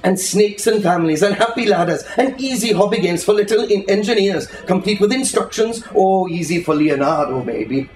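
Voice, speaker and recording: A man giving an expressive, lyrical and unhurried reading of a literary story aloud to listeners. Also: high voice, a little hiss or hum. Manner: dramatic, lyrical, unhurried delivery. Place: indoor reading in a bookshop. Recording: roomy echo, faint music behind.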